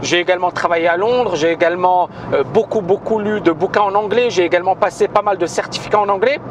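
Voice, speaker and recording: A man's voice: high-pitched, quick pace, noisy background. A man who founded a trading school makes an emphatic video announcement, repeating himself and hesitating often. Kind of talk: spoken video announcement to viewers.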